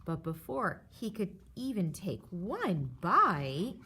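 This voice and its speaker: A woman reads a children's story in a very lively, swooping voice. She takes her time through the lines and acts out sounds and dialogue with expression. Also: fairly low pitch, slow, slight background noise. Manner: animated, dramatizes sound effects and dialogue. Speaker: storybook reader. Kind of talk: expressive children's picture book read-aloud.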